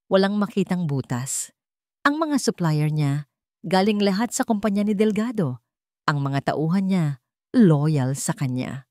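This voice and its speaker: A woman's voice: on the low side, very animated, a little slow, a little mellow, no echo, studio quality. A woman voicing a scar-faced, obedient male henchman who reports in a matter-of-fact, deferential way. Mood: reporting, deferential.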